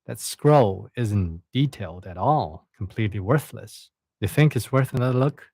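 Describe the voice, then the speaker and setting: A man voicing a scornful, sharp-tongued young male god in a read-aloud story. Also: fairly low pitch, expressive, moderate pace, gravelly, husky, no room sound, studio quality, full character voice. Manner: dismissive, cutting.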